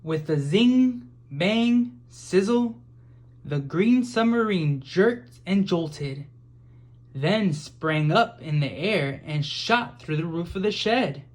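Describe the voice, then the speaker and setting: A person reading a children's picture book aloud with expressive, measured narration. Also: medium pitch. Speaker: storybook reader.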